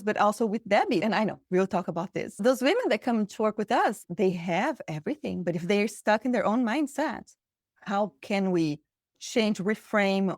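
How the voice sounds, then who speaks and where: Brazilian woman, thirties, Portuguese native; earnest, animated coach guest; mid pitch, dry sound. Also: no room sound. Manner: earnest, animated, rhetorical questions. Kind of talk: interview answers.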